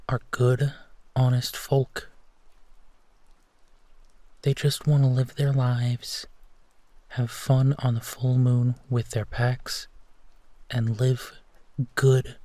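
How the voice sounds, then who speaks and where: A man playing a gentle, protective werewolf who tenderly reassures his partner in an intimate bedtime roleplay, leaving long pauses. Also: mid-range voice, no room sound. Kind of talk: intimate roleplay audio, soft bedtime comfort.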